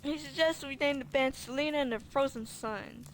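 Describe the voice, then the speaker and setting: Casting: female game streamer, higher register, informal and colloquial playthrough chatter, some background noise. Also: normal speed, poor audio. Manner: colloquial, talks back to the game. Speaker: gaming streamer playing through a horror game. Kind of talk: casual playthrough commentary, reading game text aloud.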